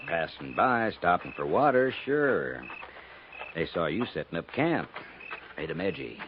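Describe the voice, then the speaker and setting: A low-voiced, plainspoken Old West agent, brooding and uneasy. His folksy talk carries foreboding. The 1950s radio drama recording is dull, with faint noise. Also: big pitch swings, measured pace, gravelly, heavily acted. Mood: wary. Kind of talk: 1950s radio western acting.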